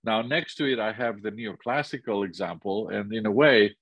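A man's voice: on the low side, fairly bright tone, slightly rough, no room sound. An older man from Greece with a Greek accent, giving an illustrated lecture over a video call, thoughtful and full of restarts. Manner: thoughtful, many restarts and pauses. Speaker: architecture teacher.

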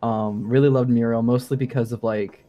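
A man's voice: mid-range voice, fairly even intonation, normal speed, mellow, a little hiss or hum. A young adult man talking casually as a podcast guest, informal, a little hesitant and wry. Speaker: guest, game sound designer. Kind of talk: casual interview chat.

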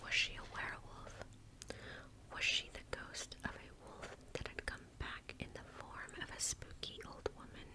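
A woman in her thirties gently reading a story in an ASMR style, whispering close to the mic with careful, deliberate phrasing. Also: rough recording. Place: small indoor space in front of a curtain.

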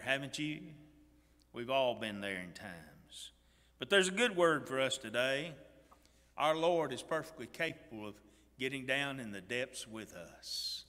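An older man preaching a sermon from a church pulpit, swinging between loud emphatic lines and quiet ones, with stumbling restarts. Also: medium pitch. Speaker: pastor. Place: church sanctuary stage behind a pulpit. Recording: long church reverb.

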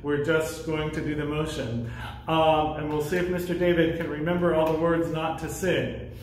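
A man's voice: fairly high pitch, little pitch movement, moderate pace, a little mellow, slight background noise. An American man in his fifties explaining things simply and encouragingly, as a music leader guiding children through a sing-along. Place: church music classroom with a piano. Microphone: camera microphone a few feet away. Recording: very echoey room, camera-distance sound.

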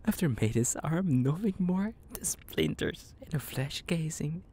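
A man's voice: on the high side, animated, measured pace, mellow, husky. A man playing a psychotic, possessive young kidnapper in an intimate audio roleplay, cooing menacingly in half whispers with creepy giggles. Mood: gloating, unhinged.

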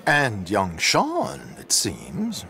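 A man playing a dapper, flamboyant gentleman rogue in a video game, with wry, flowery politeness. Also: on the low side, wildly swooping pitch, very slow, vocal fry, heavily acted. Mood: concerned, informative. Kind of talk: dramatic video game cutscene acting.

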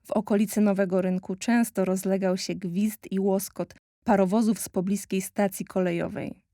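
A woman narrator reading scripted documentary narration in a measured, informative way. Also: medium pitch, steady tone, mellow, no echo, clean studio sound.

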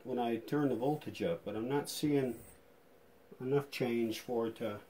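A man's voice: medium pitch, measured pace, warm tone, a little hiss or hum. A man giving a casual hands-on demonstration as a vintage radio restorer, thinking aloud in an informal, tentative way.

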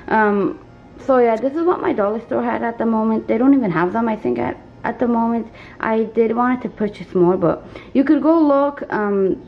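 A young woman with a raspy flu voice, chatting casually as she shows off her home craft studio. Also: on the high side, highs cut off, a little hiss or hum. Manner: chatty, self-deprecating, filler-heavy. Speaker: small shop owner giving a studio tour. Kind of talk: casual video tour.

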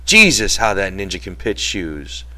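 A man's voice: low voice, sing-song, unhurried, pushed and bright, exaggerated voice. A man voicing a thick-bodied, blunt ranch worker in a storybook reading, coarse and emphatic. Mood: brash.

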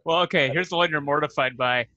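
A man's voice: a little high, fast talker, bright, forceful tone, dry recording, muffled recording. A man hosting a casual chat on a video call. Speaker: show host.

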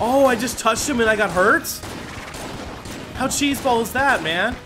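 A young man giving casual, excitable commentary on a game he is playing, swearing now and then and reading the game's dialogue aloud. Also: very high-pitched, moderate pace. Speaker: gaming video creator. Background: game music.

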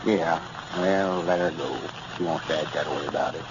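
An actor playing a scheming, self-important older storekeeper with a folksy, grumbling rural Arkansas drawl in an old radio comedy. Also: male voice, extremely low pitch, normal speed. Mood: disgruntled. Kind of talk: 1930s-40s radio comedy acting. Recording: muffled, noisy old radio recording.

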